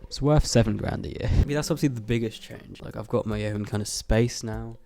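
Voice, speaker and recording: A young man with a stuffy nose from a cold, rambling casually to camera about moving into his student flat, swearing often and laughing between thoughts. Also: fairly low pitch, fairly quick, dry recording. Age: young adult. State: congested from a cold. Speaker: YouTuber. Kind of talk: casual vlog chat to camera.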